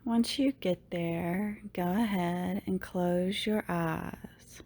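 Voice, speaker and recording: A woman leading a guided meditation in a soothing, unhurried way, with long pauses and phrases that tend to trail off softly. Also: fairly low pitch. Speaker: yoga teacher, meditation guide.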